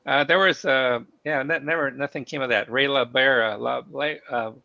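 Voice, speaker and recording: Gravelly man with a cold, halting. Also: mid-range voice, fairly even intonation, sharp tone, dull audio, a little hiss or hum. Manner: halting, many fillers and restarts. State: has a cold, losing his voice. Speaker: host of company town hall stream. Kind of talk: live stream chat.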